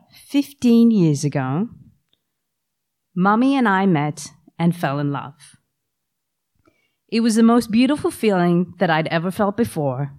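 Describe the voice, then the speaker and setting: A woman's voice: lowish voice, a little mellow. Wry woman with the flu. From Australia. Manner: wry, self-deprecating humor. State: has the flu. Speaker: storyteller. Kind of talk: live storytelling to an audience.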